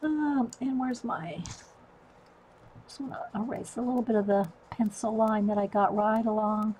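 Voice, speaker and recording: Middle-aged woman, relaxed live-stream chatter, higher voice, quick laughs. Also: a little hiss or hum, low-quality recording. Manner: chatty, talks to viewers, laughs often. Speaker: artist livestreamer. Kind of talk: casual chat while drawing.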